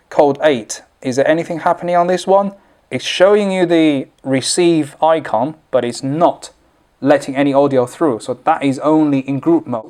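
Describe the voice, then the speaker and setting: A man's voice: a little high, a little mellow. Man giving an informal step-by-step tutorial. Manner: step-by-step, unscripted explaining. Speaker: product demonstrator.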